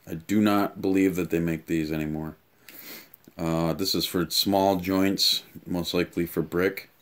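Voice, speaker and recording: A man in his thirties giving a casual, offhand unboxing and review, explaining things haltingly in a room at home. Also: deep voice, fairly even intonation. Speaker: tool reviewer and vlogger. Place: small home room, indoors.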